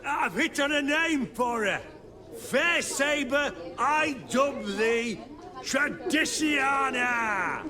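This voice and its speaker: A man's voice: very high voice, drawn-out words, a crowd in the background, full character voice. A man voicing a rowdy bandit henchman in a game, declaiming in a jovial, boisterous way. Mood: rowdy, celebratory. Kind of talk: video game cutscene dialogue.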